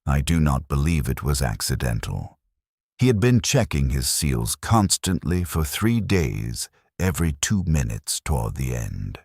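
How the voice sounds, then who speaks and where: A man narrating a scripted first-person war story in a grave, measured way, in short clipped sentences. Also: extremely low pitch, no room sound, clean studio sound.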